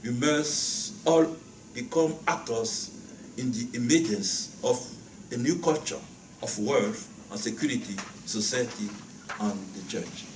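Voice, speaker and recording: A man from Benin whose first language is Ewe, speaking English as a guest lecturer and answering questions, often pausing and repeating words as he searches for phrasing. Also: mid-range voice. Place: lecture hall. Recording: echoey hall, voice from far off, faint noise.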